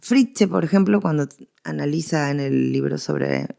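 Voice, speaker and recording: A middle-aged Argentine woman speaks in a low register with plenty of vocal fry. She lays out arguments as an interview guest, conversational yet academic. Key phrases come back repeated for emphasis. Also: a little slow, dark tone.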